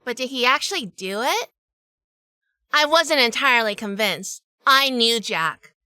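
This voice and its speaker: A woman's voice: a little high, animated, measured pace, bright, forceful tone, clean tone, dry recording, clean studio sound, heavily acted. A woman playing a shrewd, sassy, hardboiled heroine narrating a detective audio drama. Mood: suspenseful. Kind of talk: first-person detective drama acting and narration.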